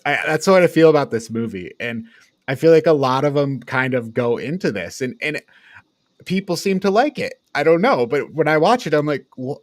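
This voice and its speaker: A man's voice: a little high, lively intonation, normal speed, no echo, clean studio sound. A man chatting casually as a critical podcast panelist, blunt and exasperated, swearing freely. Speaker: podcast panelist reviewing films.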